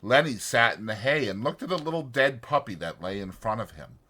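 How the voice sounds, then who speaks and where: A man's voice: mid-range voice, lively intonation, fairly quick, sharp tone. A man reading a story as a dramatic audiobook narrator.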